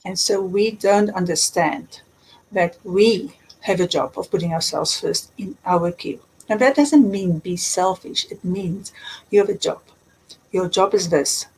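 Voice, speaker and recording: A woman's voice: fairly low pitch, a little slow, darkish tone, slight background noise, low-quality recording. A woman with a South African accent, a coach giving earnest advice in an interview. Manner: earnest, advisory, repeats words. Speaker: guest, transformational coach. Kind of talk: interview answers, explaining.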